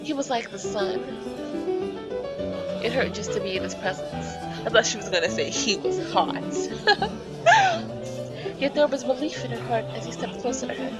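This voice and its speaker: A woman's voice: fairly high pitch, lively intonation, moderate pace, long pauses. A woman doing a playthrough, switching between slangy, irreverent commentary and theatrical read-aloud narration. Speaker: let's player. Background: game music behind the voice.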